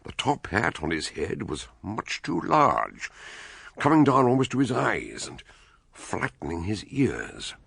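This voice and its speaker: A man acting a courteous, inquisitive, learned English detective and storyteller in a radio drama, speaking deliberately and articulately. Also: fairly low pitch, wildly swooping pitch, gravelly, fairly bright tone, slightly rough, no echo, clean studio sound, heavily acted. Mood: measured, grave. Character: measured, inquisitive learned male sleuth and storyteller. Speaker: investigator and narrator. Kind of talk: radio drama dialogue and narration.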